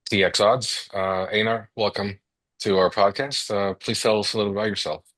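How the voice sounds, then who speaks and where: A man's voice: on the low side, fairly even intonation, normal speed, a bit bright. A man hosting a podcast interview who welcomes his guest and asks short, friendly questions. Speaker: podcast host.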